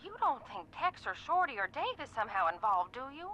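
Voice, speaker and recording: A woman's voice: high-pitched, sing-song, a little fast, sharp tone, muffled recording, a little hiss or hum, full character voice. A woman playing a worried, kindly aunt in a video game, fretful and concerned, heard through a telephone filter.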